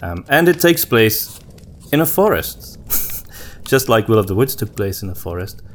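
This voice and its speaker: A man with a fairly low voice hosts a relaxed, conversational podcast. He thinks out loud, leaving pauses as he puts his thoughts together. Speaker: podcast host. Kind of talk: casual conversational podcast chat.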